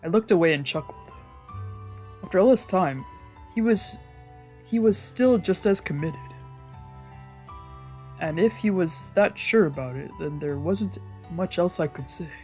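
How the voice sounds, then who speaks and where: Streamer reading a visual novel out loud, mid pitched voice, trips on words a bit, music playing behind. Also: expressive, a little slow, pauses often. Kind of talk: scripted narration read aloud in a let's play.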